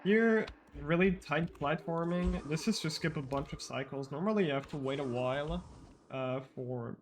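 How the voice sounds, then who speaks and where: A man narrates his own speedrun as he plays. His voice sits a little high, and he explains the tricks in a casual, rambling way. He restarts his sentences often as he thinks aloud. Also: normal speed, slight background noise. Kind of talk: live speedrun commentary.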